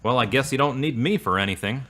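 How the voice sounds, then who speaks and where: A man playing a devout, easily wounded boyfriend in an audio drama, earnest and expressive. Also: medium pitch, fast, lightly acted. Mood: hurt, indignant. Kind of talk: acted audio drama dialogue.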